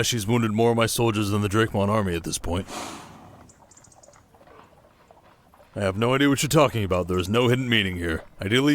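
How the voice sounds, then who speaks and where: A man playing a steady, protective desert king in an ASMR audio drama, speaking in a measured, intimate way. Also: lowish voice, a little hiss or hum, close to an everyday voice. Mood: light, affectionate.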